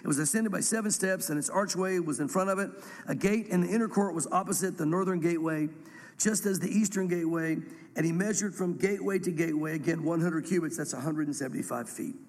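A man's voice: fairly high pitch, quick pace, a little mellow, long reverb tail. A man, congested from a cold and losing his voice, teaching verse by verse through scripture in a church, with rambling self-deprecating asides. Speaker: pastor teaching a Bible study.

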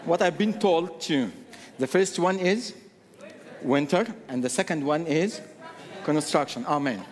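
Slow preacher in a reverberant hall. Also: male voice, a little high. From Egypt. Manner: slow, deliberate, repeats key phrases. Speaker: guest preacher. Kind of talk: sermon. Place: church sanctuary. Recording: long church hall reverb.

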